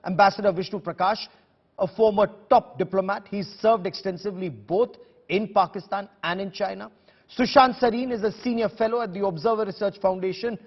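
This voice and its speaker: Male Indian-accented debate host, very high pitched, combative, in dull reverberant sound. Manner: combative, emphatic questioning with rhetorical repetition. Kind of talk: broadcast debate moderating.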